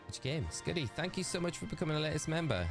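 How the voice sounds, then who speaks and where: A man's voice: on the high side, lively intonation, fast talker, music in the background. A man with a Geordie accent chatting casually and rambling on a live game stream, talking to his chat.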